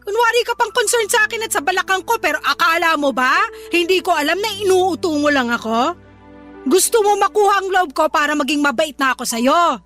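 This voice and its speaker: A woman acting in a radio drama as a scornful, nagging older mother-in-law with a sharp, sarcastic, scolding manner. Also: very high-pitched, lively intonation, brisk, soft background music. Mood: sarcastic, suspicious. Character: scornful, nagging older mother-in-law who belittles her daughter's partner.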